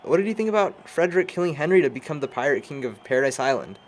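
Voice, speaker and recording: A man's voice: a little high, very animated, fast. A man playing an aloof, bookish young man in an indie film drama, speaking in a dry, rather formal way. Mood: reflective. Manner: dry, formal, pretentious wording. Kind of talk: amateur indie film acting.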